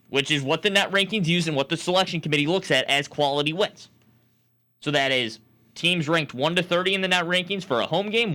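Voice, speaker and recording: A man's voice: fairly high pitch, bright, forceful tone. A young man working as a sportscaster, delivering sports commentary and opinion in an emphatic, argumentative manner. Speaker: sportscaster and sports talk host.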